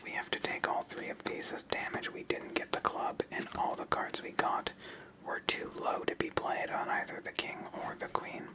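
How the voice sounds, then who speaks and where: A person whispering ASMR-style commentary as the host of a card game channel, in a soft, unvoiced manner. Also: normal speed, poor audio.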